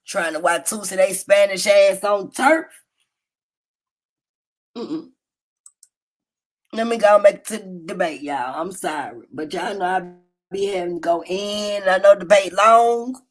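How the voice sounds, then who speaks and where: A woman hosting a casual livestream, telling stories in a loud, profane and animated way. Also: measured pace, pauses often, slight background noise. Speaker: livestream host. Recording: low-quality phone stream.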